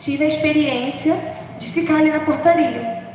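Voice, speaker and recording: Hoarse woman preaching in an echoey church. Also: high voice, moderate pace, darkish tone, a little hiss or hum. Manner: chatty and humorous, laughing at herself. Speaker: preacher from the dance ministry. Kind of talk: informal sermon. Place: large church hall. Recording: echoey church hall from far off.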